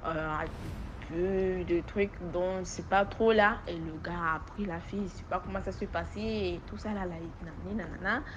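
A woman from Togo with a West African accent, speaking French as an additional language and giving an informal testimony in an animated storytelling way. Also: lowish voice, moderate pace, lo-fi sound, a little hiss or hum. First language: not French. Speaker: testimony giver. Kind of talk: informal spoken personal testimony.

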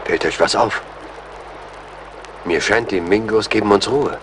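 An actor in a German radio play as a gallant but anxious young army major, earnest and urgent. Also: male voice, on the low side, measured pace, background noise, close to an everyday voice. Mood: tense, resolute.

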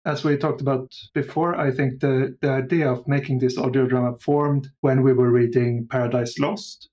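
A man's voice: medium pitch, fairly even intonation, normal speed, a little mellow, dull audio. A man chatting casually as a podcast guest about the audio drama he makes. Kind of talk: casual interview chat.

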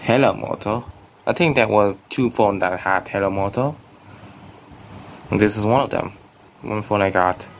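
Halting young man, slightly low voice. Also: vocal fry, slight background noise. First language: Vietnamese. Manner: rambling, halting, many restarts and fillers. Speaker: phone collector vlogger. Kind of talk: casual show-and-tell narration. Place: bedroom at home.

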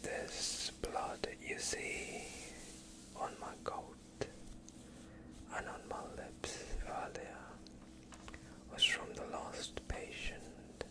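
A young man playing a polite vampire doctor in an ASMR roleplay, soothing and evasive with a sinister undertone, speaking in a hushed voice close to the mic. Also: low-quality recording. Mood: calmly evasive. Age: young adult. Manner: hushed, slow, courteous, evasive. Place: quiet indoor studio, dark backdrop. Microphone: close off-screen microphone.

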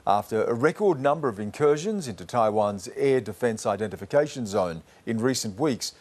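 A man's voice: medium pitch, animated, normal speed, darkish tone. A middle-aged man anchoring a news program, introducing stories and an interview with serious authority. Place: studio.

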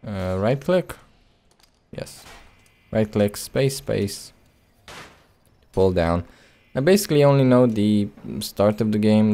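A man's voice: fairly low pitch, warm tone. A man doing casual gameplay commentary with a hesitant, rambling, playful delivery. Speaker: let's play host.

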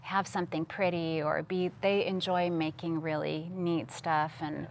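Low-pitched earnest woman from Costa Rica. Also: little pitch movement, a little slow, a little hiss or hum. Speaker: interview guest, missions worker. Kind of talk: conversational testimony. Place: TV studio, seated at a table.

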